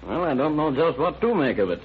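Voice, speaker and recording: An actor playing a businesslike, authoritative county sheriff who asks clipped questions in an old-time radio crime drama. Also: male voice, on the high side, big pitch swings, fairly quick, breathy edge, exaggerated voice. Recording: tinny, noisy vintage radio recording.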